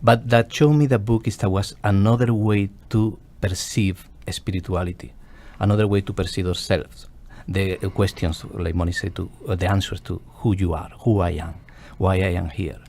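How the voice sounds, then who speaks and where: A man's voice: sits low, fairly even intonation, normal speed, few pauses, a little mellow, a little hiss or hum. A man from Spain with a Spanish accent, a guest on a radio interview, telling his story earnestly and searching for English words.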